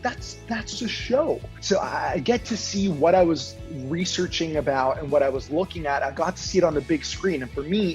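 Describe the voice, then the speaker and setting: A young man from Honduras, a guest telling stories in a chatty way with many "like" fillers. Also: fairly high pitch. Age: young adult. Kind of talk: casual storytelling. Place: Toronto.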